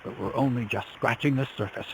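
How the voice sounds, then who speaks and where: An actor playing an eccentric miller with grand, cagey talk about secret experiments. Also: male voice, mid-range voice, fairly quick, a little hiss or hum, close to an everyday voice, rough recording. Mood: grandiose.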